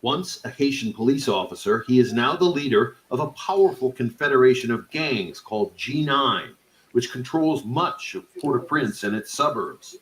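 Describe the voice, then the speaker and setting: A male reporter reading a scripted news report in a measured, even delivery. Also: mid-range voice, reverberant, rough recording.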